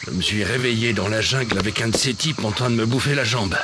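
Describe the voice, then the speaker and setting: A man's voice: lowish voice, fast talker, noisy background, natural voice. An actor playing a wary, haunted male crash survivor in a video game, speaking.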